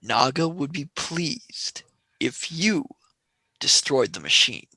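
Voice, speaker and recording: A sardonic young male space traveller, acted by a voice actor in a radio play. The pitch swings widely and the tone is bright. The sound is dry and close to the mic. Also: big pitch swings, takes it slowly, breathy edge, no echo, full character voice, rough recording. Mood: wry. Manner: sarcastic, wheedling.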